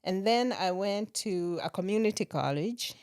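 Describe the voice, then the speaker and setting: A middle-aged woman from Kenya, a nurse practitioner, talking as a podcast guest in a relaxed way and laughing often. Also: mid-range voice, normal speed, smooth, no echo, clean studio sound. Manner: laughs often while telling stories. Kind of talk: relaxed interview conversation.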